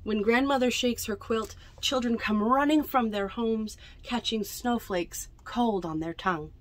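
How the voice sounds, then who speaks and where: A young woman reads picture books aloud to children in an unhurried storytelling manner, adding chatty asides about the pictures. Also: on the high side, slight background noise. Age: young adult. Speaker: library storytime reader. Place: indoors among bookshelves in a small library bookmobile.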